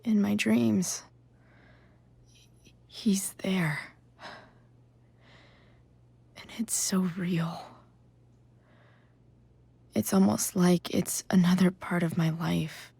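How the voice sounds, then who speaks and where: An actress playing a wounded, guarded teenage girl in a video game, with halting, hushed delivery and a gravelly edge. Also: fairly low pitch, steady tone, no echo, heavily acted. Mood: wistful, vulnerable. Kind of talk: naturalistic video game acting.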